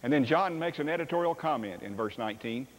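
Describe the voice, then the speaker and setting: A man's voice: fairly high pitch, quick pace, a little hiss or hum. Older man preaching in a big hall. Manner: animated, acts out dialogue with sweeping gestures. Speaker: pastor. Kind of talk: sermon. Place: large church sanctuary stage. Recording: long reverb of a large hall.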